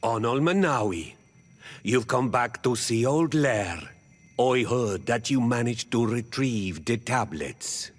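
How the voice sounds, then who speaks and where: A man playing a calm, wry old native clan elder in a video game, speaking deliberately. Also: mid-range voice. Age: older. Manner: slow, deliberate. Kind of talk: video game dialogue acting.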